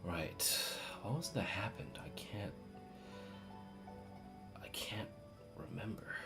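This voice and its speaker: A woman's voice: extremely low pitch, expressive, slow, in a whisper. A woman streaming a game with casual, sweary, emotionally reactive commentary, talking back to the game. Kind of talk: casual live playthrough commentary. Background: game music.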